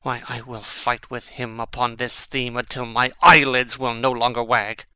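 An actor playing a brooding, impassioned young prince in a dramatic Shakespeare reading, with deliberate theatrical delivery. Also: male voice, medium pitch, level tone, pushed and bright, clear, resonant tone, no echo. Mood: impassioned, defiant.

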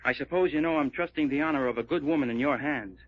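A man acting a blustering young American rancher in love in a radio serial. He is highish, husky and forceful, with a muffled vintage sound. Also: fast, natural voice. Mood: earnest, suspicious. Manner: blustering, doubtful. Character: hot-headed, earnest young male rancher in love. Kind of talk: old-time radio drama acting.

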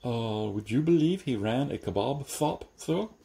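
A man voicing a calm, deferential, stitched-together manservant who answers dryly and patiently. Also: medium pitch, unhurried, vocal fry. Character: unflappable, deferential stitched-together male servant. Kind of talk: audiobook character reading.

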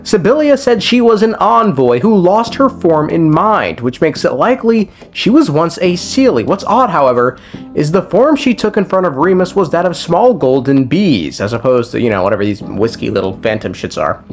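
Animated higher-pitched man, irreverent. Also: normal speed. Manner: irreverent, joking, profane. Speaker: video creator explaining game lore. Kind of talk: casual recap commentary with slang and swearing. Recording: music behind the voice. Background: background music.

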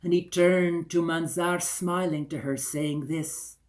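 Male storyteller, 60s, unhurried and deliberate delivery, theatrical folktale narration. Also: on the high side, fairly even intonation. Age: older, 60s. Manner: deliberate, repeats phrases for effect. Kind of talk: dramatic oral folktale storytelling. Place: small room indoors by a window.

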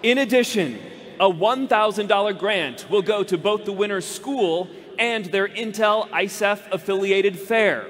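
A man in his thirties hosting an awards ceremony on an arena stage, speaking formally into a headset mic and building to emphatic, loud announcements of winners' names. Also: sits high, unhurried. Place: large arena stage before a big audience. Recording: long echo of a big hall.

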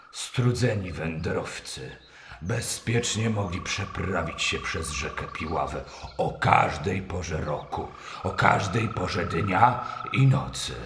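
A man's voice: low-pitched, exaggerated voice. A man playing a grateful, generous noble prince in a radio play, solemn and stately. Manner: solemn, stately, deliberate phrasing. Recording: echoey, noisy recording with ambience.

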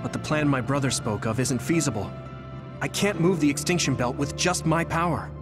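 A man's voice: medium pitch, moderate pace, over music, lightly acted. A man playing an earnest, idealistic young hero in an anime game dub, speaking sincerely.

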